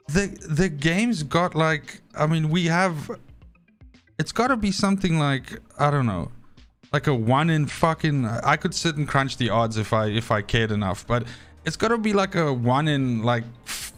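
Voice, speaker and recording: A man's voice: a little high, lively intonation, measured pace, a bit bright, faint music behind. A man, an Afrikaans speaker, rambling and swearing freely as he streams a game and talks to his chat. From South Africa. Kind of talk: live gameplay stream chat.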